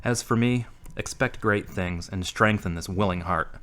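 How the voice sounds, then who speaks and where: A man's voice: on the low side, normal speed, a bit bright, close to an everyday voice. A man acting a brooding, earnest, troubled character in an audio drama. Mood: prayerful, searching.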